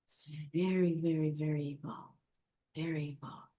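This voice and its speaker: A woman hosting a podcast over a video call, soft-spoken and effusive in her praise. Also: low voice, steady tone, moderate pace. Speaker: podcast host. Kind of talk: casual interview chat.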